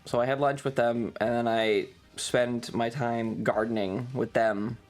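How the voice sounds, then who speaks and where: Slow-talking man, casual streamer. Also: medium pitch, steady tone, faint music behind. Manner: sweary, self-deprecating. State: slightly tired. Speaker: livestream host. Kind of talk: casual rambling stream chat.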